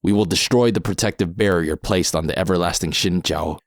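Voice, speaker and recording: An actor playing a calm masked mercenary in an audio drama, measured, unhurried and coolly threatening. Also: male voice, deep voice, gravelly, no room sound, clean studio sound. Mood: cool, assured. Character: calm masked mercenary man, cool and menacing.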